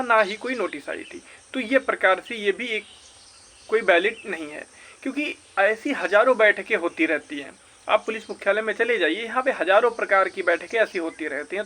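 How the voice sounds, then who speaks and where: A man's voice: sits high, sharp tone, a little hiss or hum. A young man from gives informal explanatory commentary, stressing and repeating his points emphatically. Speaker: exam and recruitment news YouTuber.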